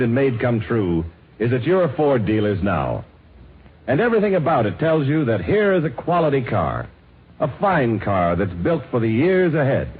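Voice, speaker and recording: Male American announcer for a 1950s radio ad. Husky, animated, emphatic sales read on a thin old broadcast. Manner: emphatic, polished sales pitch. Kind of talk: 1950s scripted radio advertisement. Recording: muffled, phone-like vintage radio recording.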